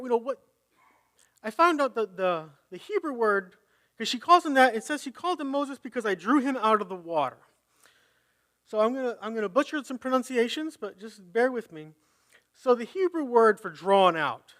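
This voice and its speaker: A man's voice: sits high, a bit bright. A man preaching a conversational sermon at a live church service, reading scripture and thinking aloud as he corrects himself.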